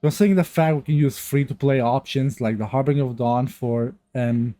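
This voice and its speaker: A man's voice: mid-range voice, fairly quick, mellow. A man with a stuffy nose from a cold, chatting casually over his gameplay on a new, untuned mic, rambling with many restarts. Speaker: gaming youtuber.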